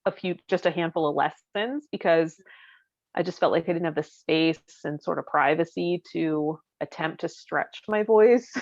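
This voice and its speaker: A woman's voice: deep voice, a little slow, no room sound, dull audio. A woman co-hosting a podcast, sharing personal stories in a casual, candid, conversational way. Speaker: podcast co-host. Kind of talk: casual chat, personal anecdotes.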